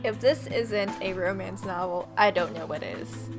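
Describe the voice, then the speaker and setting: A woman's voice: medium pitch, a little slow, gravelly, over music. Sarcastic woman doing let's play commentary. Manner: sarcastic, flippant. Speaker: gaming video host.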